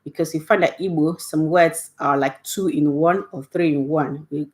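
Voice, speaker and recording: Low-voiced Nigerian woman teaching. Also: steady tone, normal speed, a little mellow. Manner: friendly, patient, punctuates points with "okay?". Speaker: Igbo language teacher. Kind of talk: casual online language lesson.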